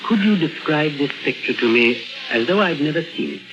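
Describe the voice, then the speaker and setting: On a muffled old radio recording with music underneath, a male psychiatrist with brisk authority. His intonation moves widely while his manner stays formal, clinical and probing, in 1950s radio drama style. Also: fairly high pitch, animated. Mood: quietly probing. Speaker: actor. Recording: dull vintage radio recording with music behind.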